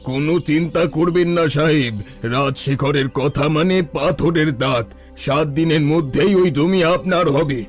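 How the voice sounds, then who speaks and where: Cartoon village headman, greedy and smooth-talking, voiced by a man, slightly high pitch over light music. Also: normal speed, natural voice. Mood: flattering. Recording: soft background music.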